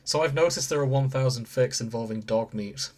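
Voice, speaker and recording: A man's voice: mid-range voice, brisk, darkish tone. A man co-hosting a podcast in casual conversation, with a dry, understated sense of humour. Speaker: podcast co-host. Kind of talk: casual chat.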